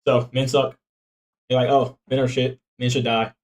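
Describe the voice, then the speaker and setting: A man's voice: medium pitch, little pitch movement, moderate pace, a little mellow. A man in his twenties chatting casually on stream, joking around in a slangy way and laughing often. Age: 20s. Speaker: streamer reacting to videos.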